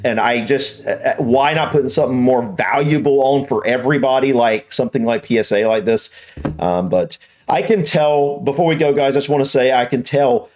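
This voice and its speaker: An American man hosts a reaction video in an easygoing conversational style. His pitch sits in the middle range for a man. He rambles through his opinions, circling back and repeating himself as he goes. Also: moderate pace, darkish tone. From United States. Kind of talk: casual conversational commentary.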